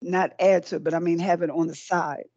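A woman's voice: lowish voice, fairly even intonation, brisk, dark tone, no room sound. Chatty woman cooking on camera, lo-fi. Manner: chatty and encouraging, repeats words while working. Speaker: cooking demonstrator. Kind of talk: live cooking demonstration. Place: small indoor set with folding screens. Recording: dry, dull lo-fi sound.

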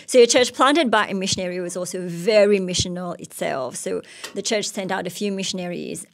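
A woman's voice: fairly low pitch, a little fast, gravelly. A woman in her thirties from Mauritius, speaking into a handheld mic as a missionary giving personal testimony in an onstage interview. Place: indoor stage with curtain backdrop. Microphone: handheld microphone.

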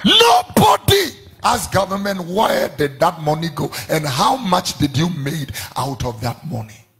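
Fiery Ghanaian pastor, husky and shouting. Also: male voice, on the high side, big pitch swings, a little slow. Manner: shouting, sing-song preaching with repeated refrains. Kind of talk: fiery sermon. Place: large church hall with a congregation. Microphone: handheld mic.

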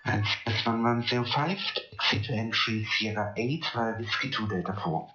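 A man's voice: fairly low pitch, moderate pace, sharp tone, reverberant, lo-fi sound, soft background music. A man working as a virtual air traffic controller, speaking courteous, procedural radio phraseology over an online voice link.

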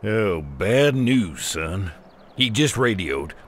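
A man playing a stern older sheriff police car in an animated game, gruff and folksy, with an American accent. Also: on the low side, slow, slight background noise. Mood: grave. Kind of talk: animated game cutscene acting.

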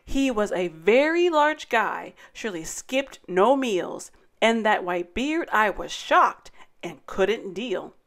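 A woman hosting story time, reading a rhyming children's picture book aloud in a dramatic, deliberate way. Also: medium pitch. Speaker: story time host and narrator.

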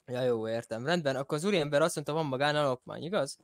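A man's voice: a little high, no room sound. A man improvising police questioning in a roleplay game, speaking in a polite, official way.

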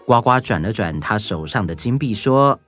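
Animated children's story narrator. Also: medium pitch, normal speed, faint music behind.

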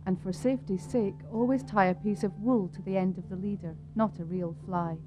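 A woman reading scripted instructional narration in a measured, formal way. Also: mid-range voice, steady tone, noisy background. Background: music.

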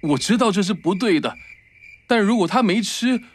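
A timid, devoted husband in a fairy-tale cartoon, acted by a man. He speaks in a high, very animated voice with an earnest, anxious manner, over faint chirping noise. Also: normal speed. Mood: frightened, pleading. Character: timid, devoted young husband, helpless and apologetic.

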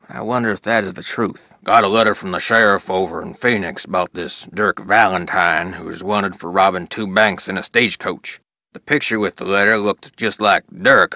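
Video game role: weathered frontier lawman and father, low forceful voice, dry close recording, rural Western American accent, plainspoken. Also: no echo. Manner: folksy, plainspoken. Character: weathered Old West sheriff, grieving, stern, loving father. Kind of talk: scripted diary reading in a video game.

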